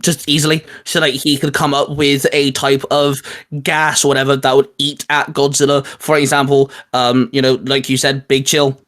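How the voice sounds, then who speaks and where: A man's voice: mid-range voice, level tone, normal speed, a bit bright. Male host, mid-range voice, chatty and opinionated, for informal fan debate. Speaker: video host. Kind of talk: casual geeky debate.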